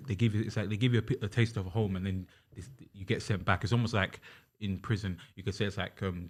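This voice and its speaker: Young man, low and rapid, earnest guest with lots of restarts. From Uganda. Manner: earnest, many restarts and fillers, quotes others in dialogue. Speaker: podcast guest. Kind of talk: casual chat.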